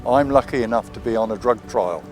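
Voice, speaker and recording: A man's voice: mid-range voice, quick pace. British man over faint music. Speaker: patient giving testimonial. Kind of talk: short video testimonial.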